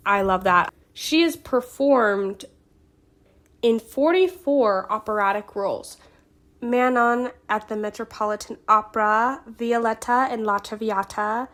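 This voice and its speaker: Higher-voiced woman with a lingering cold. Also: unhurried, fairly bright tone. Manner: chatty, earnest, reads facts with small fillers and restarts. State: getting over a cold. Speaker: YouTube host. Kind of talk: casual vlog narration of film history.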